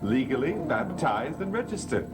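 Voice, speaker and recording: An actor playing the knowing, gracious master of the house, smooth-spoken, in 1960s TV soap style. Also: male voice, mid-range voice, sing-song, normal speed, background noise, natural voice. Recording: old TV soundtrack with music.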